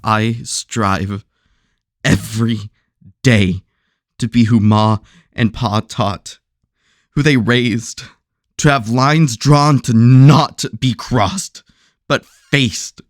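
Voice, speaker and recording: A man playing an anguished, noble superhero in an audio drama, telling his story in a solemn, halting way. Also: mid-range voice, steady tone, no echo, studio quality. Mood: pained, earnest.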